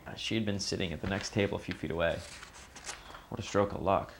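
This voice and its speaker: An actor playing an uneasy man giving a halting, understated account in a naturalistic documentary interview style. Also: sits low, vocal fry, a little hiss or hum. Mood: shaken. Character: uneasy man recounting meeting his secret half-brother.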